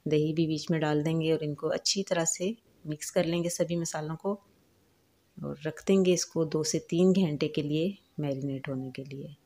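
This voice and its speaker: A woman hosting a home cooking channel, calmly explaining each step of a recipe in a voice-over. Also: low-pitched, normal speed. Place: home kitchen.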